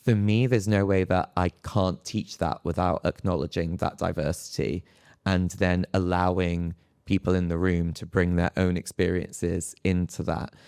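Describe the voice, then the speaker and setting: A man's voice: low-pitched, level tone, unhurried, vocal fry, darkish tone, smooth, dry recording, clean studio sound. A man speaking as an academic panelist in a live discussion, phrasing his thoughts in a hesitant, reflective way. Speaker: panelist, psychology lecturer.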